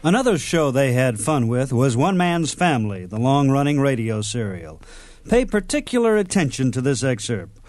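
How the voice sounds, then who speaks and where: A man's voice: mid-range voice, big pitch swings, normal speed, a little mellow, faint music behind. A man hosting a radio program, narrating introductions to old comedy clips in a plain scripted style.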